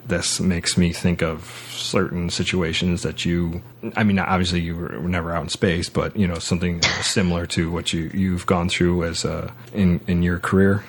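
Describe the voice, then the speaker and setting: A man hosting a podcast, casually discussing film and books in a halting way, often repeating words as he goes. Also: low-pitched, little pitch movement, a little hiss or hum. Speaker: podcast host.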